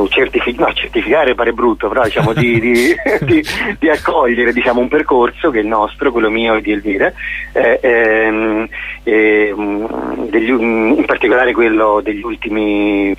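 A man's voice: lowish voice, breathy edge. A man speaking as a theatre artist in a radio phone interview, hesitant, with many fillers and restarts. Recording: tinny phone line.

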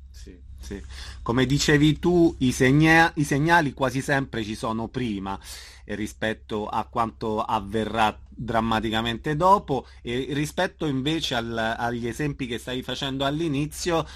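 A man interviewing conversationally, attentive and measured, murmuring agreement. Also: medium pitch, a bit bright, no room sound. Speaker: radio interviewer.

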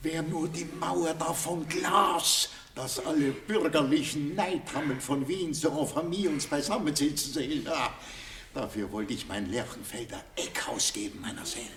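A fawning Viennese social climber, echoing. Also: male voice, a little high, normal speed, fairly bright tone, recorded from a distance. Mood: delighted, boastful. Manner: obsequious, marvelling, self-important. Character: fawning, status-proud newly ennobled bourgeois father. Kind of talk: radio play acting. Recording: echoing old radio recording with crowded background.

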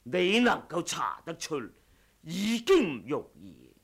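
An actor playing a cold, haughty martial-arts assassin in a radio drama, measured and sardonic in delivery. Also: male voice, fairly high pitch, huge pitch swings, a bit bright, breathy edge, exaggerated voice. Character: ruthless male wuxia assassin, cold and haughty. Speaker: audiobook voice actor.

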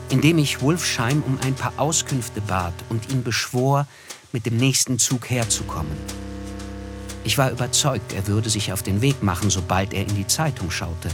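An actor playing a sober, observant first-person narrator in a literary radio play. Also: male voice, medium pitch, close to an everyday voice. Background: music.

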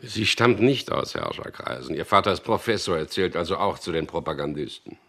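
An actor playing a wry, weary propaganda copywriter in a German radio drama, speaking in a dry, ironic way. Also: male voice, deep voice, expressive, brisk, pushed and bright, exaggerated voice. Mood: teasing banter. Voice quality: husky, gravelly. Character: wry, weary male propaganda copywriter, narrating his story. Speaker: lead actor and first-person narrator.

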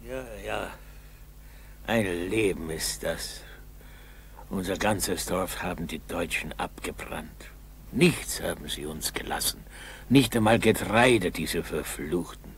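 A man's voice: sits low, slow pace, creaky, heavily acted. An older man playing a plain-spoken, grumbling village grandfather in a 1960s German radio drama, muttering and exclaiming. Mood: bitter lament. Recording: noisy old 1960s radio recording.

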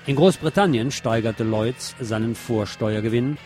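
A man's voice: mid-range voice, measured pace, darkish tone, faint music behind. Wry male radio news presenter. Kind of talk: reading news and commentary on radio.

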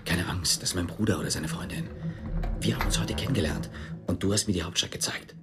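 A man's voice: sits low, expressive, fast talker. An actor playing a wary young East German policeman in a radio drama, terse, questioning and incredulous. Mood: guarded.

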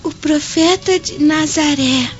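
A performer playing a frail, sick little Roman girl in a radio drama, speaking faintly and haltingly. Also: high-pitched. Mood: weak, wistful. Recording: dull lo-fi audio over music.

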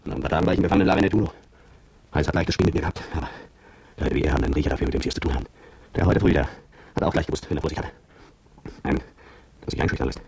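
Low, gravelly man's voice, that of a cowed petrol station attendant in a radio play. He confesses at a very quick pace, brooding and bitter, with an old soldier's fear underneath. The sound is close and dry. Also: a little mellow, no echo, heavily acted. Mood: bitter, fearful, then resigned, self-reproachful. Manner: brooding, confessional first-person narration. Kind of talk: German radio drama monologue.

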